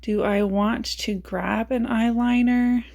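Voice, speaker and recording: A woman beauty vlogger chatting casually about her makeup, raspy as she loses her voice and thinks aloud in a self-mocking way. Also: on the high side, fairly even intonation, slow, darkish tone.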